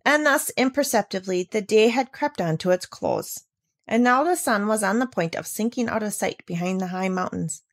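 A woman reading a classic children's novel aloud in a steady, clear storytelling manner. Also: medium pitch, normal speed, dry recording, studio quality. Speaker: reader. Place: at a table with a book.

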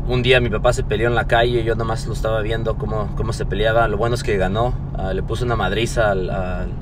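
A man, giving an earnest, rambling motivational talk to a phone camera from inside a parked car, with many fillers and restarts. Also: medium pitch. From Los Angeles, USA. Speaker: motivational vlogger. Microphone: phone at arm's length.

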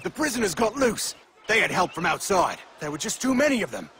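Flustered game soldier, high jumpy voice, excitable. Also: male voice, brisk, slight background noise, heavily acted. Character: flustered soldier reporting to his superior. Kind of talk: video game cutscene acting.

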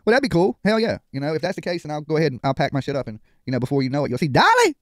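A man's voice: on the high side, huge pitch swings, rapid-fire, no room sound, studio quality. A middle-aged man giving casual live commentary as a stream host, in a blunt, profane, streetwise manner, on a headset mic indoors. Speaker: livestream host reacting to a live video. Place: indoor home studio.

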